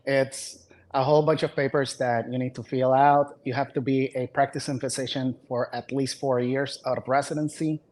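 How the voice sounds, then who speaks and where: A man from Puerto Rico, a physician, answering interview questions in a relaxed way with dry humor. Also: mid-range voice, normal speed, few pauses. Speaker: physician, guest.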